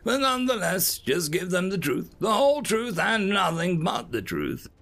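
A man's voice: sits high, sing-song, moderate pace, creaky, fairly bright tone, pitched above the performer's own voice, full character voice. A man voicing a self-assured, airy and curt alien senior observer in a science fiction story. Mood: curt, firm. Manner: firm, dismissive.

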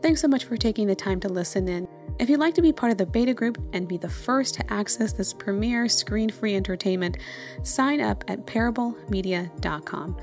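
A woman's voice: medium pitch, a little fast, darkish tone. Encouraging woman hosting, some music behind. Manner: encouraging, appreciative. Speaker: podcast host. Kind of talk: podcast interview and outro.

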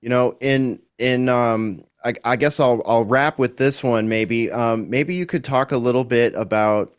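A man co-hosting a talk radio show, asking questions hesitantly with many pauses. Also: medium pitch, smooth.

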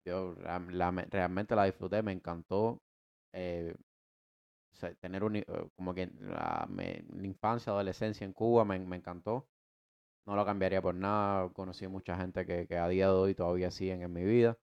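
A man's voice: deep voice, moderate pace, gravelly, clean tone, highs cut off. A man in his twenties with a Cuban Spanish accent, casually telling his life story as a podcast co-host, rambling with many restarts and slangy asides. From United States. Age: 20s. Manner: slangy and relaxed.

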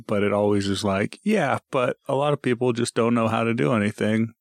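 A man's voice: mid-range voice, a little fast. A man chatting casually as a podcast co-host, wry, chipping in and acting out imagined remarks. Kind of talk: casual chat.

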